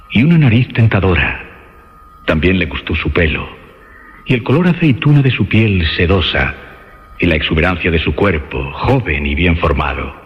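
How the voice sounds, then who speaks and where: A man narrating a suspense radio drama in a dramatic, deliberately phrased style. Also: sits low. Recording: muffled radio sound, music bed behind.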